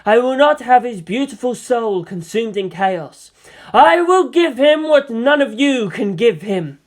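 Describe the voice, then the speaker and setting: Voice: medium pitch, animated, unhurried, a little mellow, voice pushed up, natural voice. A narrator voicing a proud female alien seer, commanding, solemn and cryptic as a mentor. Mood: fierce.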